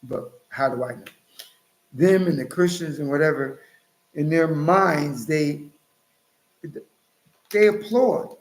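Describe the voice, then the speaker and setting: A man's voice: a little high, takes it slowly, long pauses, roomy sound, poor audio. A man preaching and reading scripture in a lecturing, emphatic way, now and then jumping into mocking voices. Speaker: Hebrew Israelite teacher.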